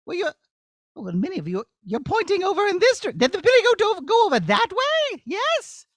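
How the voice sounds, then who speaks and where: A man's voice: extremely high pitch, wildly swooping pitch, brisk, a bit bright, no room sound, clean studio sound, full character voice. A man voicing a chatty, prim, easily flustered young princess in a children's puppet show. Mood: chatty, helpful. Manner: exclaiming, sing-song calling, prim.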